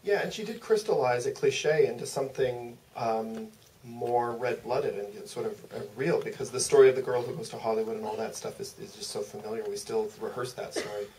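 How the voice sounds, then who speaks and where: Male moderator, dark soft-edged timbre, hesitant and wordy, picked up from off with light noise. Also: mid-range voice, brisk. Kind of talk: thoughtful, loosely phrased interview questions. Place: theater stage at a public Q&A. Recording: distant mic, faint room noise.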